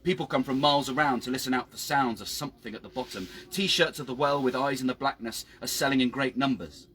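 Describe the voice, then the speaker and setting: A man reading his own story dramatically outdoors, with measured, hushed and suspenseful delivery. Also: mid-range voice, a little hiss or hum. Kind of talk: dramatic scripted story narration. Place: outdoors by a town well.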